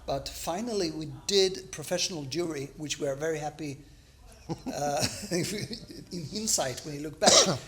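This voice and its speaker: A man hosting a champagne interview over lunch in a restaurant, relaxed and agreeable, with many "yeah"s. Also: fairly high pitch, moderate pace. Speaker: host, champagne club organizer. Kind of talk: casual interview chat.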